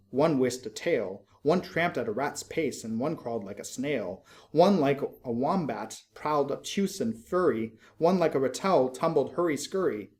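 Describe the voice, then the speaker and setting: A man reading narrative verse aloud in a measured, expressive, literary storytelling manner. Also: medium pitch. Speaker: poetry reader.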